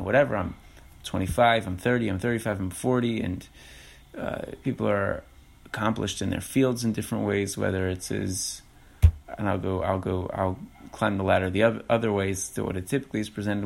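A man speaks alone into a podcast mic in a low, gravelly voice. He thinks aloud and backs up often to restart his sentences. He talks in a reflective, unhurried way. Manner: halting, many restarts and fillers. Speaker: podcast host. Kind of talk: reflective solo podcast talk.

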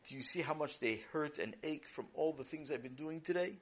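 A man's voice: on the high side, fairly quick, breathy edge. A man voicing a tired young boy who whines and complains to his mother. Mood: whiny.

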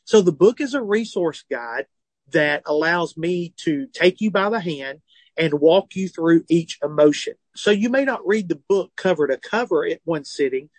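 A man's voice: fairly high pitch, normal speed, dry recording. A man in his forties with a Southern US accent, a comedian and author telling his story in an interview from a tour bus. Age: 40s. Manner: animated, earnest, self-deprecating humor. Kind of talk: phone or remote interview, candid storytelling.

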